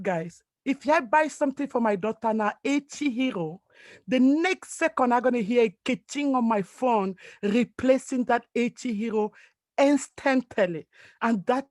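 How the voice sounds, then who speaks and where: A woman's voice: a little high, no echo. Woman from Cameroon, network marketing leader, emphatic motivational talk, dry close call audio. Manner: earnest, emphatic, non-native phrasing. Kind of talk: motivational speech on a video call. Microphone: video call.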